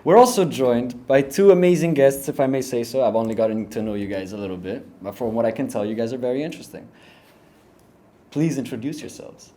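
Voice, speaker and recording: A young man hosts a casual chat podcast with a relaxed, mellow voice. He talks in an upbeat, slangy way, often trailing off and starting over. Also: mid-range voice, a little fast, slight background noise. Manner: upbeat, slangy, rambling with restarts. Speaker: podcast host.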